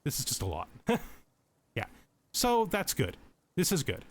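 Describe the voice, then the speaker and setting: A man's voice: on the high side, huge pitch swings, unhurried. A man giving casual let's play tutorial commentary, explaining game mechanics and thinking aloud as he goes.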